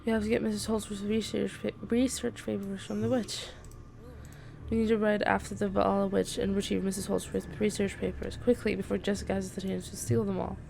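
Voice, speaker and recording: Woman reading as an urgent, creaky-voiced young heroine. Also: medium pitch, flat delivery, brisk, mellow, a little hiss or hum, natural voice. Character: urgent, earnest young heroine of fantasy game.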